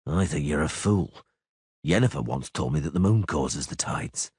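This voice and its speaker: A man voicing a terse grown male monster hunter who speaks low and curtly. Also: moderate pace, husky, no echo, clean studio sound, exaggerated voice. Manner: low, curt and flat.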